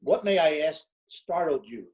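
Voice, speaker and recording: A man playing a measured, skeptical official in old-time radio comedy style. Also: fairly high pitch, expressive, darkish tone. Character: measured, skeptical male official over the principal. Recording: dull remote video-call audio.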